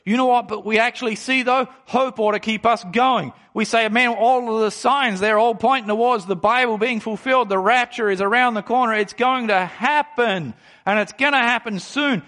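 High-voiced preaching man in his forties. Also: bright, forceful tone. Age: 40s. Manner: emphatic preaching, slows down to stress key phrases; reads scripture aloud and explains it. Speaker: pastor. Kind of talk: sermon.

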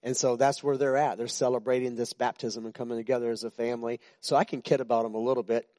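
A man's voice: mid-range voice, level tone, quick pace, dark tone, no room sound. A man in his sixties, a pastor giving an informal, chatty sermon to his church, joking with the congregation and often restarting his sentences. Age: 60s.

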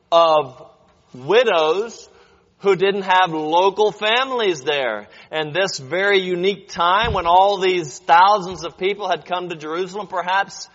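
A man with a high voice who preaches slowly and deliberately, teaching as he goes and pausing to ask his listeners questions. His voice rings with a long hall reverb, and the recording sounds dull and muffled. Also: fairly bright tone. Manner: explanatory, addresses listeners with rhetorical questions. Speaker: preacher teaching a Bible lesson. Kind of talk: sermon.

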